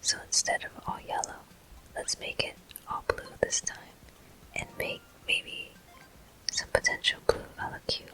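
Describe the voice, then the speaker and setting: Woman, ASMR gameplay host, whispered, crisp bright hiss, gentle, unhurried. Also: poor audio. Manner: soft, gentle, unhurried murmuring. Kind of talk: whispered ASMR video game commentary.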